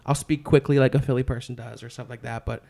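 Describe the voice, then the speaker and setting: Young guy hosting a chat, mid voice, hyped up, swears a lot, talks fast then slows down. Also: mid-range voice, steady tone, vocal fry, dark tone. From Philadelphia area. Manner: enthusiastic, swears casually, quick laughs. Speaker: interviewer host. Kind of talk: casual interview chat.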